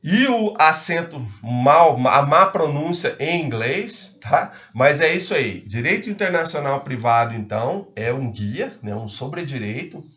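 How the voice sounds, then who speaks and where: A man's voice: a little high, expressive, measured pace, few pauses, a bit bright. Hesitant law lecturer, man. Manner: hesitant, frequent fillers and tag questions. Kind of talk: casual spoken lecture.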